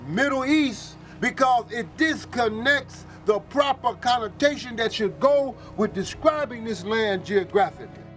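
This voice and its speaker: Brief: man with a very high voice, assertive explainer, interprets for others. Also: expressive, a little slow, few pauses, fairly bright tone, soft background music.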